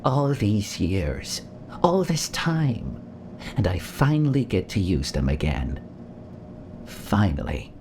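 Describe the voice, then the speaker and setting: A man's voice: lowish voice, big pitch swings, slow pace, a little mellow, slight background noise. A man playing an anxious, deferential scientist in a sci-fi audio drama, earnest and hesitant. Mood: awed. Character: anxious, deferential scientist devoted to alien artifacts.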